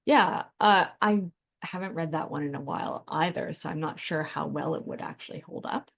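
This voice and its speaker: A woman with a lowish voice and a noticeable creak co-hosts a podcast. She chats informally and reflectively, thinking her points through as she goes. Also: normal speed. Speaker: podcast co-host. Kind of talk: casual podcast discussion.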